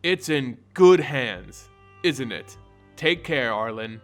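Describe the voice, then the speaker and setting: A man voicing a grave, weary wizard in a fantasy story, speaking in a solemn, measured manner. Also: medium pitch, animated, soft background music. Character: grave, weary male wizard warning an ally. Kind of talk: dramatic fantasy story narration dialogue.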